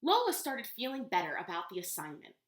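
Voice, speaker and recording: Echoey, animated woman reading to young children. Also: medium pitch, a little fast. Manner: instructive, asks questions and explains words. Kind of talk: storybook read-aloud with questions to children. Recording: roomy, echoey room sound.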